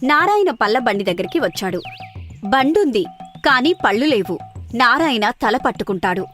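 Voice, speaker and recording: A woman with a high voice tells a scripted story for children as a narrator. Faint music plays behind her voice. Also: sing-song, normal speed, fairly bright tone.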